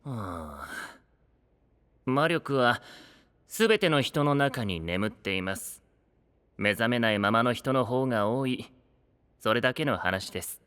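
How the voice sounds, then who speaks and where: Sly, bright-voiced theatrical trickster. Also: male voice, mid-range voice, lively intonation, slow, long pauses, clean tone. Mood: detached. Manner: polite speech, teasing and theatrical. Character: sly, theatrical, pleasure-seeking young male trickster. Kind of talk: Japanese anime-style audio drama acting.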